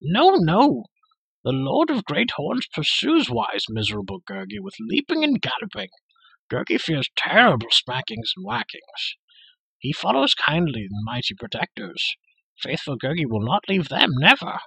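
A shaggy, cringing creature whines and wheedles in a high, husky voice. He fawns and frets, always speaking of himself in the third person. The sound is close and dry. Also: huge pitch swings, normal speed, pushed and bright, no room sound, full character voice, poor audio. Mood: whining. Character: whining shaggy wild creature, fawning and fearful. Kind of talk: audiobook character voice.

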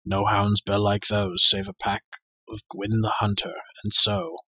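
A man's voice: on the low side, monotone, husky, no room sound, full character voice. Man reading as a grave, wise warrior lord. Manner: measured, grave and gentle. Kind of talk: audiobook character voice.

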